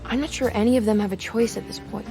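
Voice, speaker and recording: An actress playing a worried, determined young woman investigating a sinister manor in a video game, with a creaky, earnest, measured delivery. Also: a little high. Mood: earnest, conspiratorial. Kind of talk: dramatic video game cutscene acting. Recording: music under the voice. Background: game music.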